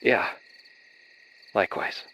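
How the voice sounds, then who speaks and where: A man playing a sympathetic, tentative military operative in an animated horror series, with a gentle, hesitant way of talking. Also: slow pace, a little hiss or hum. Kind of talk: analog horror animation acting.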